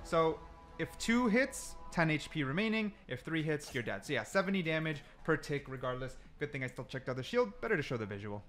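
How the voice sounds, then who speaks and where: Male gaming creator, animated, slightly high voice, casual think-aloud delivery with emphatic repetition. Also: a little fast, soft background music. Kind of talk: casual gameplay commentary. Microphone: desk mic, sometimes turns away from it.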